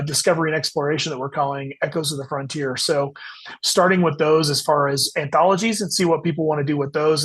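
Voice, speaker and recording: Higher-pitched man, explaining conversationally. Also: rough recording. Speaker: guest author. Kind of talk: casual interview chat.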